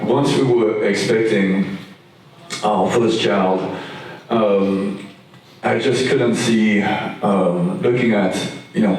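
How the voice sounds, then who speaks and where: A man with a Belgian French accent and French as his first language, speaking on an architecture panel with many pauses and ums. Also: low voice, a little mellow, slight background noise. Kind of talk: panel talk. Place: large event room. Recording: echoey room, distant mic.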